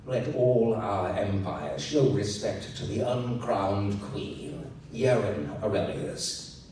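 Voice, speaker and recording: A man voicing a majestic emperor, making slow, formal, booming proclamations to crowds in a fantasy audiobook. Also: dark tone, a little hiss or hum. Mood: proud, ceremonial. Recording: echoey hall, distant handheld recording.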